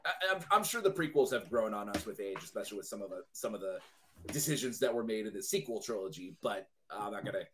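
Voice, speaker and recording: Guy w a lowish voice, guest on a fan podcast, just chatting casually. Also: animated, fast, fairly bright tone, a little hiss or hum.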